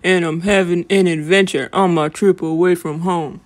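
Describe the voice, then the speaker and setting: A woman's voice: on the low side, fairly even intonation, moderate pace, lightly acted. A woman voicing an earnest travel-loving fish in rhythmic rhyme for a children's storybook. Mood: eager. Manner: earnest, rhythmic rhyming delivery.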